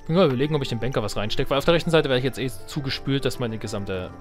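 A man's voice: mid-range voice, animated, racing, soft background music. A man giving casual let's play commentary as a gaming host, thinking aloud and addressing his viewers.

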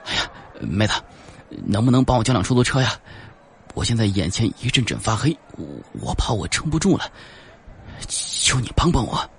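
A man's voice: medium pitch, lively intonation, normal speed, fairly bright tone, husky, voice pushed up, exaggerated voice. A man voicing a predator who pretends to be a weak, wounded victim in an audiobook, stammering and breathless. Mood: pained pleading. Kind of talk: audiobook character acting.

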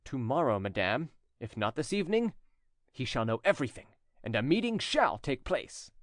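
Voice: medium pitch, big pitch swings, moderate pace, no echo, studio quality, close to an everyday voice. A reader playing a haughty, menacing, declaiming nobleman. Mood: stern. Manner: declamatory, sneering, theatrical. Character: haughty, jealous, menacing nobleman commander of old France.

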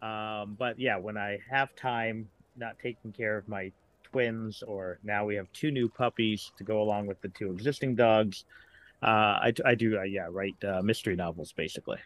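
A man's voice: fairly low pitch, fairly even intonation, moderate pace. An American man in his late forties chatting casually as a podcast guest, in a rambling storyteller's way. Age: late 40s. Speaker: guest, writer.

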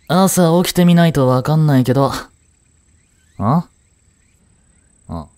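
A man playing a laid-back, cheeky, protective male high school student in a romantic audio drama, with casual, slangy, reassuring delivery. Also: a little mellow, dry recording, clean studio sound.

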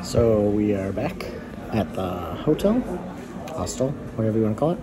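A man's voice: fairly low pitch, big pitch swings, moderate pace, over music. A man in his thirties with a North American accent, chatting casually to camera as a family travel vlogger. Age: 30s. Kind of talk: casual vlog chat to camera. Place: cafe-like hostel bar and outdoor beer garden. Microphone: camera at arm's length.

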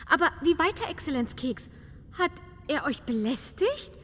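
A woman's voice: high-pitched, sing-song, normal speed, fairly bright tone. A woman playing an inquisitive, haughty but polite castle countess in a fairy-tale audio comedy, courtly and exclamatory. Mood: puzzled, indignant. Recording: long reverberant hall sound.

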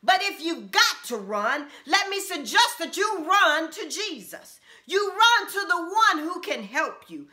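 A middle-aged woman pastor preaching a sermon from a small room at home, with impassioned repeated refrains and drawn-out emphasis. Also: extremely high pitch, big pitch swings, pushed and bright.

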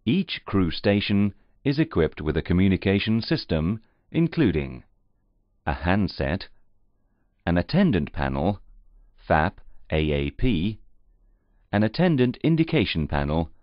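Deep-voiced man narrating technical training. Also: very animated, unhurried, pauses often, no room sound. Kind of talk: scripted instructional narration. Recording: slightly muffled, dull audio.

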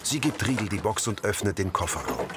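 Low-voiced male narrator with lively intonation. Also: a little fast, noisy background. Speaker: audio description narrator. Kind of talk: scripted descriptive narration.

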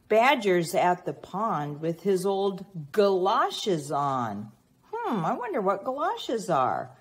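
A woman reading a picture book aloud expressively to young children, prompting them with teacherly questions and pauses. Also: fairly low pitch, breathy edge. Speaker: children's read-aloud reader.

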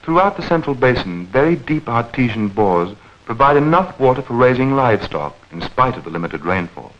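A man narrating a 1940s educational newsreel in a measured, clearly enunciated, explanatory manner. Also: medium pitch, big pitch swings. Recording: dull vintage 1940s film soundtrack.

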